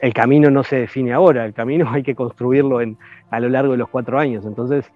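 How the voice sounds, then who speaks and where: Male podcast guest, analytical and measured, dull lo-fi dry sound. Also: medium pitch, steady tone, no room sound, soft background music. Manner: analytical, measured, many hesitations. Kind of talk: casual chat.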